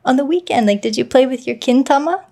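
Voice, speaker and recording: Relaxed woman hosting a podcast. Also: high-pitched, fast talker, gravelly. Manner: relaxed, conversational, laughs lightly. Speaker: podcast host. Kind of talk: casual podcast chat. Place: Japan.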